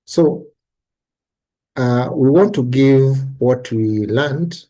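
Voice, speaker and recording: Male moderator, hesitant delivery with fillers, mellow dark tone. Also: mid-range voice, extremely slow, poor audio. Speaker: session moderator. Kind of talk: online seminar moderation.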